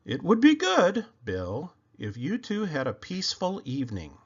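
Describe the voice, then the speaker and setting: Man voicing a pleasant mother, picture-book read-aloud, raised pitch, animated, slightly muffled recording. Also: moderate pace, husky, heavily acted. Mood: gently instructing. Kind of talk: children's read-aloud character voice.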